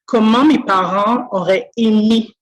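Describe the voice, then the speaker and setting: A woman's voice: fairly high pitch, measured pace, poor audio. A woman from Quebec with a Québécois French accent, a school speech-language pathologist talking through her work thoughtfully and earnestly, with many fillers and restarts, on a video call from a school office. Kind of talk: conversational interview.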